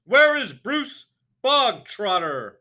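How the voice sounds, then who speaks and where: Man playing a furious bullying headmistress, screamed high insults, slow booming, storybook. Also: sing-song, sharp tone, heavily acted. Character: bellowing tyrannical female headmistress.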